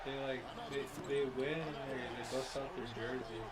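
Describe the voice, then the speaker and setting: A man's voice: mid-range voice, steady tone, normal speed. A young man in laid-back, slangy casual chat, filling his talk with "like" and "bro" while watching games with a friend. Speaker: co-host. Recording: loud crowd noise behind.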